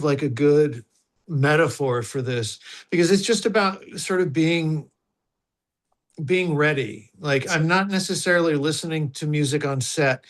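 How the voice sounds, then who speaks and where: A man, an actor, reflecting on his working method in an interview and searching for the right words as he goes. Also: fairly high pitch. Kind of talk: interview answers.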